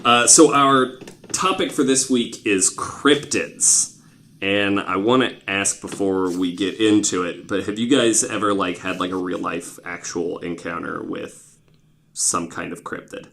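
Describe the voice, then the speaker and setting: A young man with a fairly low voice hosts a podcast in a loose, conversational storytelling style. He talks informally, swears freely and leans on "like" as he goes. There is a little noise in the recording. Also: measured pace, creaky, a bit bright. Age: 20s. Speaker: podcast host.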